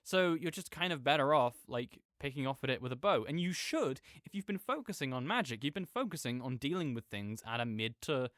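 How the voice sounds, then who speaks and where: A man playing an opinionated gamer roommate in an audio roleplay, ranting casually and haltingly, often correcting himself with apologetic asides. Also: medium pitch, very animated, fairly bright tone, no room sound, clean studio sound. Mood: explaining, griping. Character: opinionated gamer roommate ranting good-naturedly about games. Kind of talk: rambling casual rant, sleep-aid audio roleplay.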